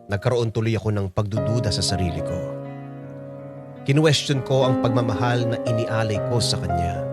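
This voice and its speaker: A man's voice: fairly low pitch, lightly acted. A man with a Filipino accent playing a hesitant, sombre, guilt-ridden older man in a radio drama. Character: guilt-ridden older man torn over a forbidden love. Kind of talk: radio drama acting and narration.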